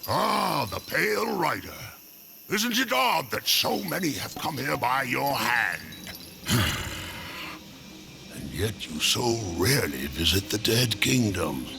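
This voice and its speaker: A man voicing a sly undead merchant in a video game, unhurried, theatrical and knowing. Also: mid-range voice, very animated, creaky, background noise, full character voice. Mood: sly, amused. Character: sly undead merchant, last of a burned race. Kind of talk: video game cutscene acting. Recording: loud music under the voice.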